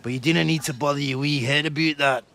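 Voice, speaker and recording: An actor playing a rowdy, mocking young nobleman in a video game, with a jeering, drawn-out delivery. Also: fairly high pitch, fairly even intonation, pushed and bright. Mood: condescending.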